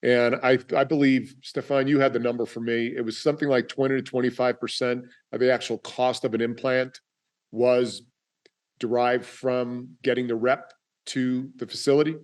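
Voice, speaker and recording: A man moderates a webinar round table, turning to panelists with questions. His voice is mid-pitched and conversational, and he speaks in a relaxed, asking manner. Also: normal speed. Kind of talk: panel discussion.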